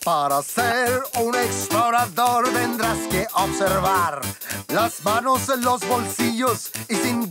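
A gleefully lazy scout leader in a children's TV musical number. His delivery is theatrical and drawn out, over loud music. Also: very high-pitched, heavily acted.

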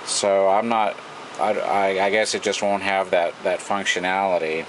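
American male hobbyist, low voice, halting informal demonstration, clear background hum. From United States. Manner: halting, thinking aloud. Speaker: radio repair hobbyist. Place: indoor workbench. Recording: noisy room, steady background hum.

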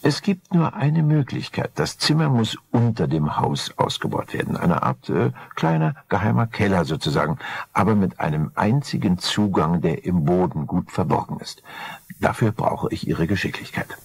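A man voicing a smooth, scheming husband with a gravelly voice, ingratiating and calculating, in an audiobook crime story. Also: deep voice, very animated, normal speed, heavily acted.

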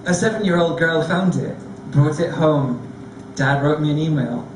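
A man on a discussion panel, telling a lively, self-deprecating story. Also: on the high side, moderate pace, far from the mic, a little hiss or hum. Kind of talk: anecdotal storytelling. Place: large theatre stage before an audience. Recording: echoey hall recorded from afar.

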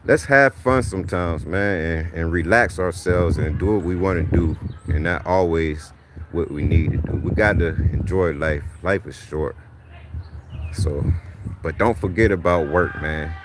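A man in his thirties talks into an action camera outdoors, rambling and laid-back, with lots of "man" thrown in. His voice sits fairly low. A faint hum of outdoor noise stays behind him. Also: a little slow. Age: 30s. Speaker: livestream host. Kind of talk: casual motivational livestream talk. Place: outdoors in a backyard driveway.

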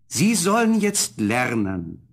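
An actor playing a noble, tender young prince in a fairy-tale audio play, with a solemn, courtly manner and a husky voice. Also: male voice, mid-range voice, wildly swooping pitch, slow pace, heavily acted. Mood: reassuring.

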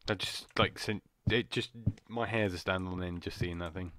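A man with a slightly croaky voice, rambling and jumpy as he comments on a horror game. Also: sits low, steady tone, moderate pace, a bit bright, no echo. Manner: rambling, jumpy, muttering to himself. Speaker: gaming channel host. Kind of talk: casual horror gameplay commentary.

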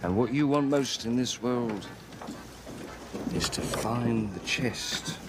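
An actor playing a sly, wheedling pirate captain with teasing, theatrical phrasing in an audio drama. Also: male voice, medium pitch, slow, noisy background, natural voice. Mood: persuasive, coaxing. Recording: loud music under the voice.

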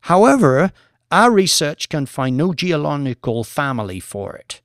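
A man voicing an earnest scientist who lectures in a measured, formal manner in an audiobook. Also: on the high side, sing-song, dry recording, studio quality. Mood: earnest, clinical.

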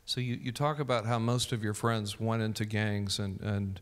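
A middle-aged man asking measured questions as interviewer on a church stage, speaking into a handheld mic. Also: fairly low pitch, steady tone, big-room sound.

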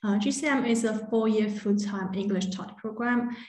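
A woman presenting a university program in a webinar over a video call, pausing often with fillers. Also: mid-range voice, a little mellow, echoey room. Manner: hesitant, many "uh" fillers. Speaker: admissions presenter.

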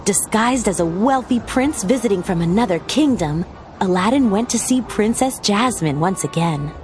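A woman reading a children's storybook aloud as narrator, with expressive scripted storytelling delivery. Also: mid-range voice, normal speed. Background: background music.

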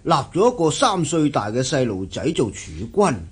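An actor playing a worried middle-aged investor in a radio drama, sighing and hesitant, with a Hong Kong Cantonese accent. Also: male voice, fairly high pitch, big pitch swings, normal speed, breathy edge. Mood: gloomy, worried.

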